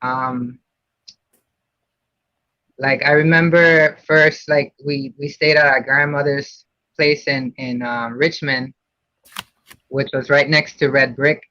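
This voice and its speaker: A man's voice: mid-range voice, fairly even intonation, takes it slowly, long pauses, sharp tone, dry recording. A man from Puerto Rico, a podcast guest talking casually about his childhood, with many fillers and restarts. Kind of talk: casual interview. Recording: dull lo-fi sound.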